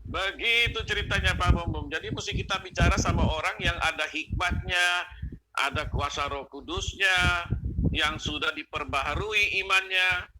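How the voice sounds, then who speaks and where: A man with a slightly high voice talks as a panelist on a religious discussion. He argues conversationally, repeats key phrases and seeks agreement. The audio is noisy, with clicks. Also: moderate pace, pushed and bright. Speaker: panelist on an online religious talk show. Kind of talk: opinionated conversational commentary with religious exhortation.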